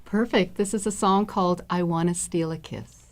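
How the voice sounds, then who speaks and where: A woman's voice: lowish voice, normal speed. A woman speaking as a guest in a live radio interview in a studio. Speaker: interview guest, jazz singer and songwriter.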